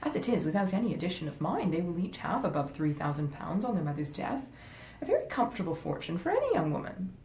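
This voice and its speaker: A woman voicing an earnest, somewhat pompous gentleman husband who is easily swayed, in a classic novel reading. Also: very animated, fast. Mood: self-satisfied. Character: grave, well-meaning but easily swayed gentleman husband. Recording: roomy echo, faint rumbling noise.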